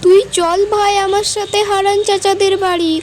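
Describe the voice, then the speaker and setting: A woman's voice: very high-pitched, little pitch movement, normal speed, faint music behind. A woman voicing a stubborn, devoted village girl in a cartoon, insistent and earnest. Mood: pleading, determined. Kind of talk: cartoon voice acting, dramatic dialogue.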